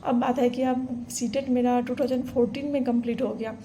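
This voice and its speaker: A woman's voice: fairly high pitch, fairly even intonation, a little fast, warm tone, slight background noise. A woman, earnestly telling her own exam story to camera, full of fillers and restarts. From Bihar, India. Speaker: vlogger. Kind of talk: casual personal story to camera.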